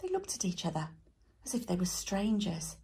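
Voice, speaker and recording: Woman, teacher reading aloud, children's storybook narration, lively intonation, breathy husky voice. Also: fairly low pitch, moderate pace.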